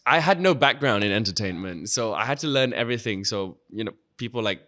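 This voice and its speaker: A man's voice: lowish voice, fairly quick. A young man from Thailand, a guest in an interview, answering casually and often saying "you know" and "like". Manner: relaxed, frequent "you know" and "like".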